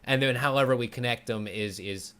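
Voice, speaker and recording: Mid-pitched man hosting a casual chat. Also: brisk, sharp tone. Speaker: talk show host.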